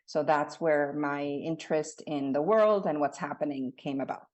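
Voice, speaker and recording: A woman in her thirties with a low voice talks through her own story as an interview guest. She grew up in Latin America and speaks earnestly, restarting her sentences often as she goes. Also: steady tone, moderate pace, a little mellow. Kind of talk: casual conversational storytelling.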